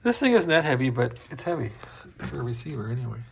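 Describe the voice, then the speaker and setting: Gravelly man walking through a restoration. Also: medium pitch, very animated, quick pace, slight background noise. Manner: chatty, explains as he works. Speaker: equipment restorer demonstrating a receiver. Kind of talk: casual hands-on demo.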